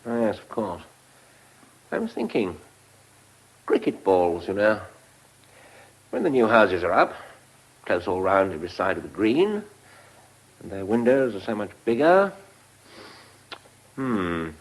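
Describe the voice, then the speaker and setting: A man's voice: on the low side, sing-song, measured pace, pauses often, heavily acted, poor audio. An actor in a British radio drama playing a pompous, scheming official who savours his words with hesitations. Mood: smug.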